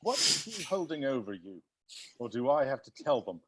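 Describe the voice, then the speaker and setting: An actor voicing an excitable butler who explains a murder mystery in a theatrical, emphatic way during a comedy table read. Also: mid-range voice, normal speed, breathy edge, low-quality recording. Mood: explanatory, confident. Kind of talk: live table read of a comedy film script.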